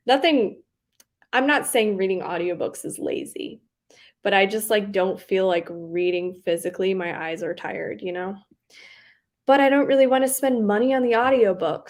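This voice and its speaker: Young woman, faint southern twang, rambly chat, giggly, mid-pitched. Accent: slight Southern US on some words. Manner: rambling, giggly, lots of likes and ums. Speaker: booktube livestream host. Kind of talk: casual chat reading live comments.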